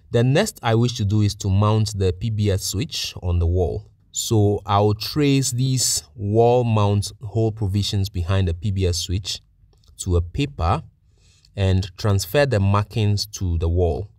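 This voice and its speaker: Male tutorial narrator, lower register, plain-spoken technician delivering orderly instructions, recorded dry. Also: a little mellow, no room sound. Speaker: technician presenting a how-to tutorial.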